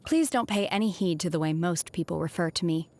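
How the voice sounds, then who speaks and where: A woman playing a reserved, solemn young exorcist disciple in a video game, speaking in a measured, formal way. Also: lowish voice, a little mellow, close to an everyday voice. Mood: composed, solemn. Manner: measured, formal, restrained delivery. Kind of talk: scripted video game character voice lines. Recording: music behind the voice. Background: music.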